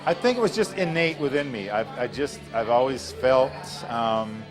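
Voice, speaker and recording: A middle-aged man speaking informally and with passion as an instructor, in an animated manner. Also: medium pitch, normal speed, music in the background. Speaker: early childhood education instructor. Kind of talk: informal classroom lecture and interview talk.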